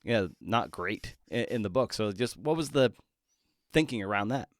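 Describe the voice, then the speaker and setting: A man's voice: fairly low pitch, expressive, normal speed, no room sound, studio quality. A man hosting a conversational interview, feeling his way toward questions in a tentative, roundabout manner. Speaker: podcast host, interviewer.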